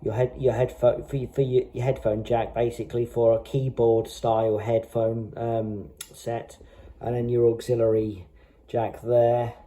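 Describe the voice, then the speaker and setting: A man giving a casual, unscripted product walkthrough in a halting way, often repeating himself as he explains. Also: medium pitch, little pitch movement, warm tone. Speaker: hobbyist presenter.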